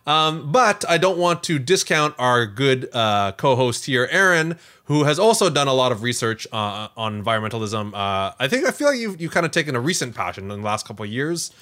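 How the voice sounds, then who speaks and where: A man's voice: medium pitch, expressive, brisk, few pauses, pushed and bright. A man co-hosting a podcast in casual, jokey, self-deprecating chat. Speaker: podcast co-host.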